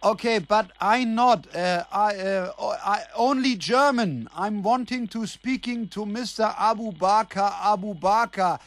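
Higher-voiced, gullible, defensive man. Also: takes it slowly, few pauses, a bit bright, dry recording, exaggerated voice. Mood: flustered. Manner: colloquial, impatient. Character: gullible, defensive man tempted by easy money. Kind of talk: naturalistic radio drama dialogue.

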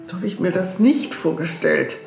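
A woman's voice: on the low side, sing-song, normal speed, gravelly, full character voice. A woman acting in a satirical radio play as a reluctant elderly pupil who doubts and complains. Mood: complaining. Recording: roomy echo under music.

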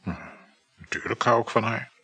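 An actor in a radio play voicing a probing, jealous married man carrying on an affair, with a husky voice. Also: medium pitch, steady tone, normal speed, bright, forceful tone. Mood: insistent, jealous. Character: married male lover, insecure, probing, jealous.

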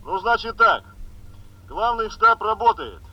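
An actor in a 1970s radio drama playing a brisk emergency headquarters chief, giving clipped reports through a telephone filter. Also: male voice, sits high, animated, lo-fi sound. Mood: businesslike. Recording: band-limited telephone sound in old radio play.

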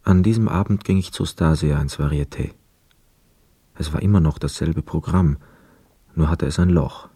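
Deep, mellow, sober narrator. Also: male voice, moderate pace, long pauses, slightly rough, no room sound. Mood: quiet, reflective. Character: sober, observant first-person narrator, hotel guest. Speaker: narrator and actor. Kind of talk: literary radio drama narration.

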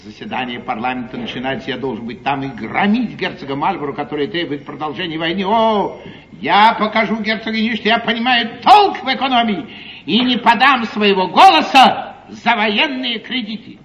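Exuberant gentleman orator, combative wit, theatrical relish, echoey, hissy 1950s stage broadcast. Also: sits high, normal speed, highs cut off. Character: witty, exuberant gentleman politician, combative and undaunted. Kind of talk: classical stage comedy acting.

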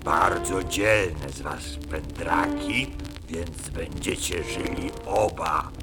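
A man voicing a fearsome, gruff but magnanimous lion in a rhymed children's story, growling slowly and grandly. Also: extremely low pitch, pitched below the performer's own voice. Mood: pleased, magnanimous. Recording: vinyl record with loud music.

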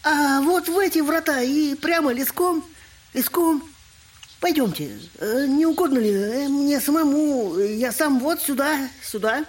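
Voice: sits high, expressive, a little slow, distant mic. A performer playing a fussy, obsequious landowner of sixty who repeats words, in an audio drama. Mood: fawning. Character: fawning, fussy old landowner of sixty, eagerly curious.

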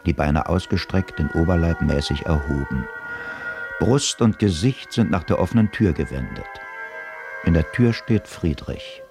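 A man giving a measured, descriptive narration for a radio play, with music behind. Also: low-pitched. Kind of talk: scripted narration.

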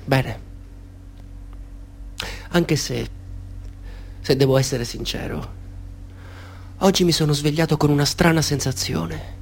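An actor playing a polite, soft-spoken stranger in a radio drama who talks in a calm, measured way with a hidden menace. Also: male voice, fairly high pitch, slight background noise.

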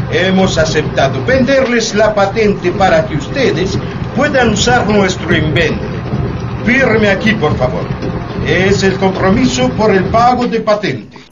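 A man's voice: sits high, distant mic, dull audio. An actor playing a polite but insistent foreign machinery salesman in a satirical radio drama. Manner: polite, persistent sales patter.

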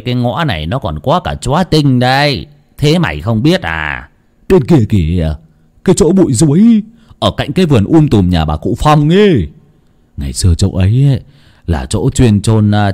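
A man voicing a gruff, teasing middle-aged village clan head in a told story, scolding in an earthy, sly way. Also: low voice, very animated, moderate pace, voice pushed down.

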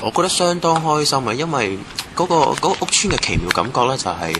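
A man's voice: on the high side, big pitch swings, fast. A man with a Hong Kong Cantonese accent, casually sharing recollections as an interviewee. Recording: noisy ambient location recording.

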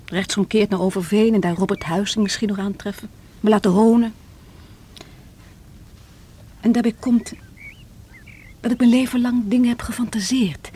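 A distraught, lovelorn woman played by an actress in a vintage radio play, on a noisy recording. Her mid-pitched voice often drops to a breathy hush as she confesses with theatrical bitterness. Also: fairly even intonation, normal speed, pauses often, natural voice. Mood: bitter, agitated. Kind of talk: radio drama monologue.

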